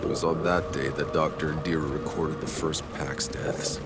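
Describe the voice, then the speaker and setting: An actor playing a grave, matter-of-fact soldier in a video game cutscene. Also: male voice, very deep voice, flat delivery, moderate pace, loud music behind the voice. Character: gruff soldier reporting grim discoveries to companions.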